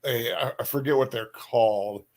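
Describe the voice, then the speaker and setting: A man co-hosting a casual discussion stream, relaxed and hesitant with joking asides. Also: medium pitch, moderate pace. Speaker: co-host.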